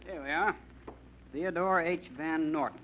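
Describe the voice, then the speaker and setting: An actor playing a polite, officious records clerk, formal and cautious. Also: male voice, on the high side, unhurried, natural voice. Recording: dull old radio broadcast with faint hiss.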